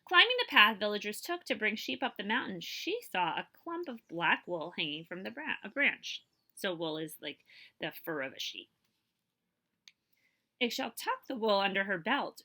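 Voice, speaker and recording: Bright-voiced woman reading to children. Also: mid-range voice. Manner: expressive storytelling, pausing to check understanding with listeners. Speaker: librarian reading a picture book aloud. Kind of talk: children's read-aloud with explanatory asides and questions.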